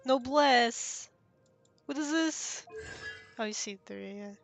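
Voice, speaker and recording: A woman's voice: fairly high pitch, slow pace, creaky, faint music behind. A woman streaming a game, giving casual, slangy live commentary. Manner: informal, slangy.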